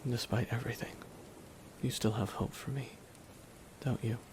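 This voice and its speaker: A man voicing a grieving supervillain, bitter yet tender, in a slow, halting ASMR roleplay monologue. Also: mid-range voice, fairly even intonation, background noise, close to an everyday voice. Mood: softened, doubtful. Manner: slow, halting delivery with heavy pauses. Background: rain and music.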